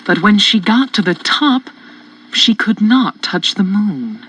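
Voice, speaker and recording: Highly animated children's story narrator. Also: slow pace, slight background noise, rough recording. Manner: expressive, theatrical. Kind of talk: scripted storybook narration, rhyming in places. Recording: music behind, from cassette tape.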